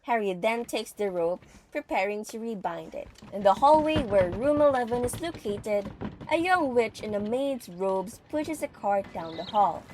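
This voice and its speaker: A woman's voice: medium pitch, expressive, a little slow, a little mellow, slight background noise. Woman narrator with a mid-range voice. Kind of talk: scripted dramatic narration.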